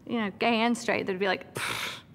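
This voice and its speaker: Animated woman from New York, echoing. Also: fairly high pitch, moderate pace, a little hiss or hum. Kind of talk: interview.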